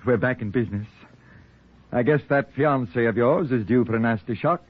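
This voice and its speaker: A man's voice: medium pitch, normal speed, breathy edge, natural voice. An actor playing an affable, sheepish middle-aged father in a 1940s radio drama. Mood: warm, grateful. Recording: muffled, narrow-band 1940s radio recording.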